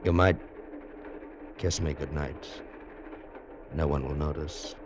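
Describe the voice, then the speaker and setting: Deep-voiced suave radio adventurer. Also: male voice, steady tone, takes it slowly, muffled recording, noisy background. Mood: flirtatious. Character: smitten, gallant male traveler narrating his train adventure. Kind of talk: 1940s radio acting. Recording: vintage radio drama with organ music.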